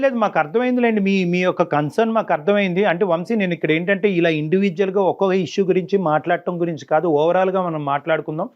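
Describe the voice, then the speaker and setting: A man giving emphatic advice as a counsellor on a talk show, repeating words for stress, in a dull, muffled recording. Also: high-pitched. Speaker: family counsellor.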